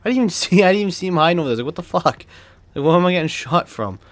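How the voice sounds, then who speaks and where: A young man with a Boston accent talks through a shooter match, swearing and laughing as he goes. His pitch leaps all over the place when he gets caught off guard. The sound is dry and close, with no room around it. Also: rapid-fire, no room sound. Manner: excitable, swears and laughs often, yelps when startled. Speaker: gaming video creator. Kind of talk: live gameplay commentary.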